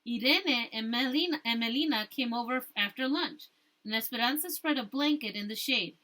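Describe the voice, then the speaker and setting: A woman teacher reading a storybook aloud, rereading stumbled phrases and pausing to explain things to her listeners. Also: fairly high pitch, bright, forceful tone.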